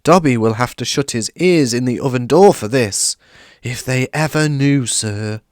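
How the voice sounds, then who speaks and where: A man voicing a small, servile and tearful male house elf who talks about himself by name in a high, excitable way. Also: a little slow, no echo, clean studio sound, lightly acted. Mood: earnest.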